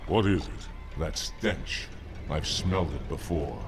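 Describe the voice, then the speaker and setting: An actor playing a proud, zealous alien elite commander in a video game, gravelly, solemn and commanding. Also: male voice, very deep voice, unhurried, over music. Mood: grim suspicion. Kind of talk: video game character acting.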